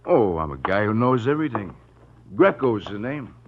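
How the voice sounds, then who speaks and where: A man's voice: fairly low pitch, sing-song, moderate pace. Smug, wisecracking radio gangster henchman. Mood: taunting. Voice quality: slangy city tough-guy diction. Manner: mocking, cocksure, wisecracking. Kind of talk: 1940s radio crime drama acting. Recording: dull vintage radio recording.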